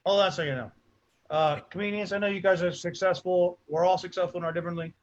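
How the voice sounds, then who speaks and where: Host: high-pitched man, middle-aged, chatty rambling storyteller, dull lo-fi sound. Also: fairly even intonation, quick pace. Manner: rambling, repeats himself, storytelling. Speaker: comedy show host. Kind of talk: casual chat.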